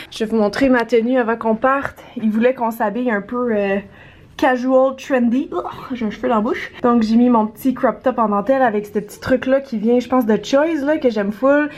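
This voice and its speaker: A woman's voice: on the high side, slight background noise. A woman from Canada with a Québécois accent, chatting casually and laughing often in a travel vlog recorded on a handheld camera. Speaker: vlogger.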